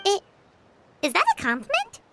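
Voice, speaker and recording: Squeaky little childlike fairy sidekick. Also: female voice, wildly swooping pitch, moderate pace, noisy background, full character voice. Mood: cheeky. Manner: squeaky, naive, refers to self in third person. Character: small childlike chirpy excitable floating fairy companion. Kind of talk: video game character acting. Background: game music.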